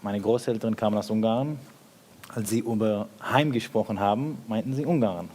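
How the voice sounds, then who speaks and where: A man speaking as a panel guest in a discussion, thoughtful and hesitant, with frequent pauses. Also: fairly low pitch, darkish tone. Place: event hall with audience.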